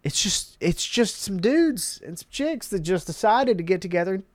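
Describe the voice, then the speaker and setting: A man's voice: high-pitched, expressive, moderate pace, dry recording. A man hosting a casual gaming commentary, congested and emphatic, repeating words for emphasis. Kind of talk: casual commentary reading articles.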